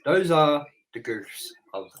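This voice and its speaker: Guy cohosting a movie review, kinda high voice, reads off notes casually, swears a bit. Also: very animated, takes it slowly, a bit bright, poor audio. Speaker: co-host of a movie review show. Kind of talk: reading trivia and goofs from notes.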